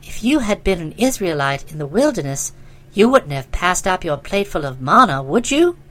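A woman's voice: fairly low pitch, big pitch swings, normal speed, a bit bright, voice pushed down. A reader as a spirited posh young woman. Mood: reproachful. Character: spirited, forthright upper-class English young woman.

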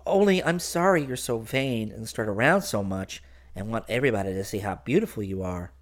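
A man's voice: lowish voice, lively intonation, a little fast, close to an everyday voice. A man voicing an earnest, modest and polite little girl turned lark in a storybook. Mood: frank, earnest. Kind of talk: storybook character voice.

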